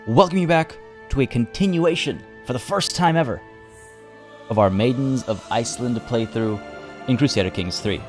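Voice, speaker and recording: A man, a little hoarse, chatting casually as he streams a strategy game from home and greets viewers by name. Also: mid-range voice, moderate pace, soft background music. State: slightly hoarse. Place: home room by a window.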